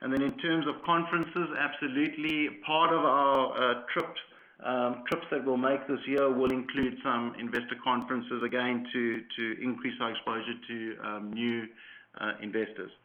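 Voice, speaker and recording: A man's voice: medium pitch, level tone, moderate pace. Man on a muffled conference line. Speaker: company chief executive answering investor questions. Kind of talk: earnings call question and answer. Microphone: conference call line.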